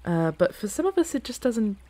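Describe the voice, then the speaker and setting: A woman playing an earnest, playful dragon in human form in a fantasy ASMR roleplay, with a casual, teasing, halting delivery. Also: on the high side, sing-song, warm tone. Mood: casual, proud.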